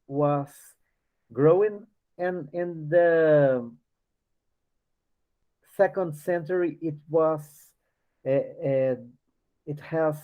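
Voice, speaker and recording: A man leading a book study class. He reads passages aloud and explains them, halting often and restarting as he searches for words. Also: fairly high pitch. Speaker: study group leader. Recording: dull lo-fi recording.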